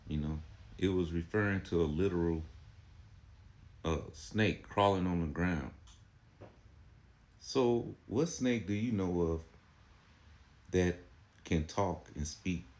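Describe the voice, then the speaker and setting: A man's voice: deep voice, darkish tone. A man giving casual commentary on religious teaching, preachy and argumentative, full of "you know" and rhetorical questions. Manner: repetitive, filler-heavy, rhetorical questions.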